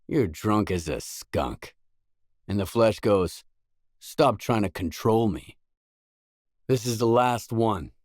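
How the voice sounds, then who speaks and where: An actor playing a weary, laconic stalker in a video game, a hardened loner with a terse, guarded, deadpan delivery. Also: male voice, lowish voice, takes it slowly, long pauses, dry recording, studio quality. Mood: dry joke-telling. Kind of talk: isolated game dialogue lines.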